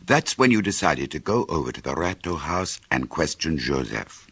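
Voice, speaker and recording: Very deep, husky teasing old friend. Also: male voice, very animated, normal speed, a bit bright, dry recording, exaggerated voice. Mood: teasing recollection. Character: older male friend of detective, teasing, proud of his memory. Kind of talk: conversational radio drama storytelling.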